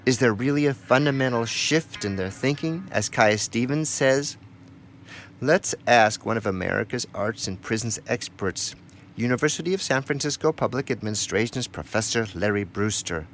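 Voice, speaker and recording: A man's voice: medium pitch, lively intonation, moderate pace, a bit bright, slight background noise. A man presenting a radio documentary, narrating and putting questions as an interviewer.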